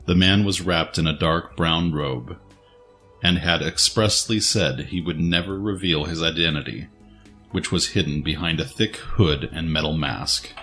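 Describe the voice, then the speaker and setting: A man's voice: a bass voice, measured pace, pushed and bright, soft background music. A man narrating a scripted dramatic story as its narrator.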